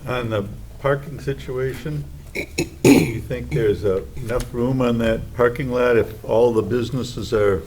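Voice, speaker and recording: A commission member asks a plain, inquiring question from his seat at a city meeting. His voice sits in a middle pitch and sounds direct and practical. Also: measured pace, a little mellow, slight background noise. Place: city council chamber.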